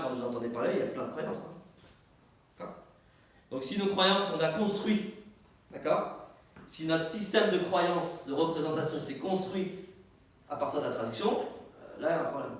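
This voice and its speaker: Teacher, a man whose first language is Arabic, in an echoey room. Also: fairly high pitch. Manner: repeats words while searching, asks and answers his own questions. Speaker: teacher, institute director. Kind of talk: informal teaching discussion with a group. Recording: echoey room, distant mic, faint noise.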